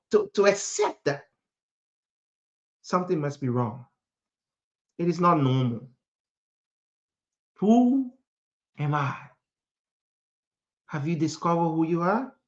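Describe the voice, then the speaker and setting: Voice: medium pitch, big pitch swings, takes it slowly, pauses often, darkish tone, highs cut off. A speaker from Africa preaching a motivational sermon in an emphatic manner, repeating phrases and posing rhetorical questions.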